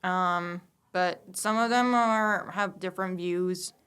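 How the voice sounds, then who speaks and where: A woman's voice: lowish voice, fairly even intonation, takes it slowly, a bit bright, clean tone. A woman whose first language is Hungarian, a podcast guest, answering hesitantly with many ums. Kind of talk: casual interview.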